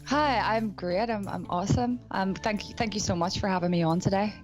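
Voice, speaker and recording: A woman's voice: mid-range voice, brisk, music in the background. A woman in her twenties with a Belfast accent, answering as an interview guest in an enthusiastic way, often restarting her sentences. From Northern Ireland. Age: 20s. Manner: enthusiastic, with frequent restarts and fillers. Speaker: guest, whiskey brand ambassador. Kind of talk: remote interview. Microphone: call-in line.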